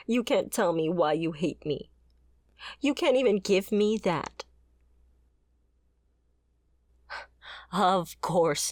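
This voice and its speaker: A fiery female mercenary, acted by a woman for a dramatic ASMR roleplay, speaks slowly and confrontationally. She is a wounded former bodyguard with a sardonic, bitter edge and a slightly low voice. The sound is close and very dry. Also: a little mellow, no echo.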